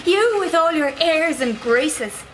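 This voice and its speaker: A woman's voice: sits high, animated, slow, a little hiss or hum, heavily acted, poor audio. An actress playing a tired, bitter young woman who works in a linen mill, speaking in a radio drama. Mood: spiteful. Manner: sulky, sharp-tongued.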